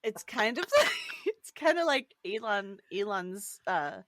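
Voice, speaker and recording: A woman's voice: mid-range voice, very animated, unhurried, fairly bright tone, no room sound. A woman co-hosting a podcast in casual, joking discussion with a playful manner. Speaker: podcast co-host.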